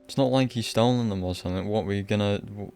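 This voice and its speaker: A man's voice: fairly low pitch, fast talker, gravelly, a little mellow, faint music behind. A man giving casual live game commentary as a streamer, offhand and sardonic, swearing now and then.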